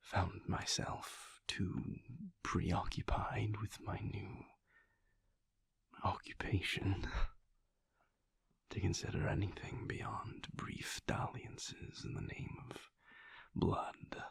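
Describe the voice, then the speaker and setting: A man playing a mysterious, brooding vampire lover in an intimate audio roleplay, tender and hesitant, with halting, formal speech in a husky, breathy whisper. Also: sits low, level tone, fairly bright tone, dry recording, heavily acted. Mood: somber, confessional. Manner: halting, measured, formal wording.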